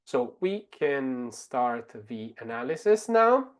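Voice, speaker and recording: Italian-accented man presenting a software webinar. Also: medium pitch, very animated. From Italy. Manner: halting, explanatory. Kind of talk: live software demonstration.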